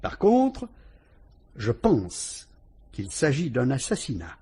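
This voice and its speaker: An actor with a French accent playing a calm, shrewd police inspector who politely and ironically probes a suspect in a vintage radio drama. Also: male voice, mid-range voice, slightly rough, heavily acted. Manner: measured, probing, politely ironic.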